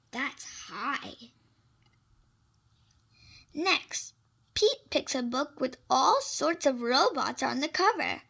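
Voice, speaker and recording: A reader with an expressive style reads a storybook aloud for young children, adding simple exclamations and asides. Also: sits high, gravelly.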